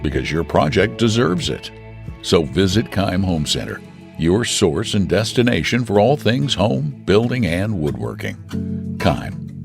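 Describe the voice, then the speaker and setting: A man narrating a scripted radio commercial as an announcer. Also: sits low. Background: clear plucked guitar music.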